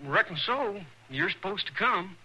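Jumpy farm kid, high bright voice, halting, old radio. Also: male voice, expressive, a little hiss or hum, full character voice, rough recording. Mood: nervous. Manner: hesitant, halting. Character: shy frightened country boy.

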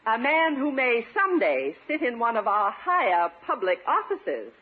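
A gracious, formal club lady of old radio comedy, gushing as a chairwoman does. Her husky voice rises and falls widely on a thin, narrow broadcast. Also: fairly high pitch, big pitch swings, normal speed.

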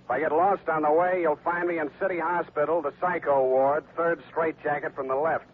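A man's voice: on the high side, steady tone, fairly quick, background noise, close to an everyday voice. A man playing a hard-boiled, wisecracking American private detective in a 1940s radio drama, with dry, glib delivery. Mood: breezy, joking. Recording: muffled 1940s radio recording with music.

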